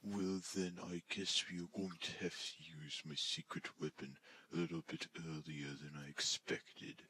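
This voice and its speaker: A man voicing a calm, commanding toy army scientist in a stop-motion film. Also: low voice, normal speed, slight background noise. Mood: resigned, calm.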